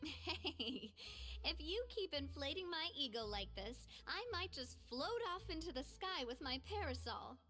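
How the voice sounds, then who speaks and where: A woman's voice: high voice, exaggerated voice. An actress playing a spirited, playful and confident young woman leader in a video game. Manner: bubbly, teasing, expressive. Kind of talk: scripted video game acting.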